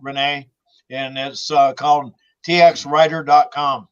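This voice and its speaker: A man's voice: on the high side, flat delivery, clear, resonant tone, no echo. Older man, dry lo-fi sound. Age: 70s. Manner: brief, halting interjections. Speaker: co-host. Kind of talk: casual chat. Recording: dry, dull lo-fi audio.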